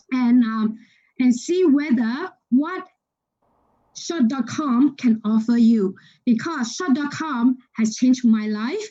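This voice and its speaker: A woman's voice: high-pitched, warm tone. A woman speaking as the host of an online business event, introducing things with enthusiastic emphasis. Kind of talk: scripted-sounding event introduction. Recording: dull, lo-fi online call audio.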